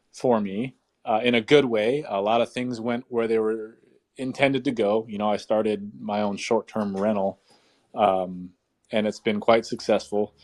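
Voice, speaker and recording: A man sharing his year in a casual online chat, talking in a relaxed, easygoing way with many fillers. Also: lowish voice. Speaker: guest speaker.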